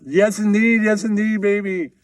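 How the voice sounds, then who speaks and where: A man's voice: high-pitched, steady tone, moderate pace, no room sound, clean studio sound, voice pushed up, close to an everyday voice. A man voicing a smitten male puppet movie critic who draws out words and repeats catchphrases. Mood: smitten, savoring.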